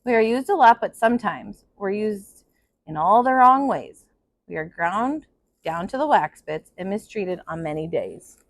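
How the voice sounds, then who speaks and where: A woman reading a children's picture book aloud in an expressive kindergarten teacher's style. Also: mid-range voice, a little slow, dry recording. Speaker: kindergarten teacher, storybook reader.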